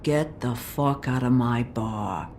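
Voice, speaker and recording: Over background noise, a troll woman who runs a bar speaks slowly and bluntly. She is grieving, bitter and guarded, and swears freely in this video game drama. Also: extremely low pitch, a little mellow, exaggerated voice. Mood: bitter, hostile.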